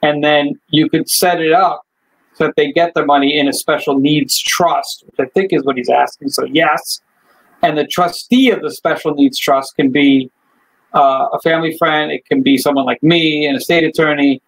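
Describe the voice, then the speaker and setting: A man's voice: fairly high pitch, steady tone, moderate pace, creaky, a bit bright, rough recording. A man from Chile, working as an estate attorney, explaining things casually over a video call, thinking aloud and speaking frankly. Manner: thinks aloud, lots of "like" and "you know", frank.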